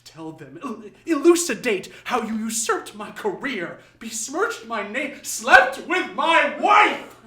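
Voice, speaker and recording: A vengeful, deranged old rival of the stage. He declaims in grand, sarcastic phrases that swing widely in pitch. The sound is roomy and echoing. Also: big pitch swings, takes it slowly, few pauses, pushed and bright, heavily acted. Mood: ranting, accusing. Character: bitter, deranged washed-up male actor, theatrical and vengeful. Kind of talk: live radio drama acting. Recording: roomy echo of a live studio stage.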